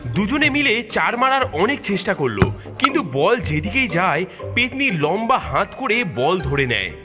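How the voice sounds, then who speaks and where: A man's voice: high voice, very animated, normal speed, loud music behind the voice. A man narrating a children's cartoon story in a dramatic storytelling manner.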